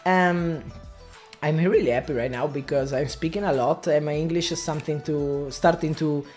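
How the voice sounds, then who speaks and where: A man's voice: a little high, fairly even intonation, moderate pace, a little mellow, faint music behind. A man from Italy with an Italian accent, a native Italian speaker talking casually to camera in non-native English, often stopping and restarting as he explains his work as a media buyer. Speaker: digital marketing media buyer.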